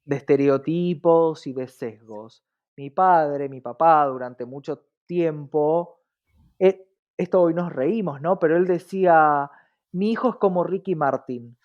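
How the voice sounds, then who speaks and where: A woman's voice: low voice, unhurried, muffled recording. A woman with an Argentine accent explaining things conversationally as an interview guest, reflective, often checking in with rhetorical questions.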